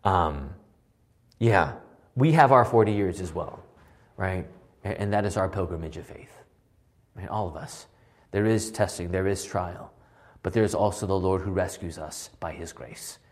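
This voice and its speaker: A man with a hoarse voice teaching a Bible passage, asking questions and answering them himself. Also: low-pitched, unhurried, pauses often. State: losing his voice, hoarse. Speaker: pastor teaching a Bible study.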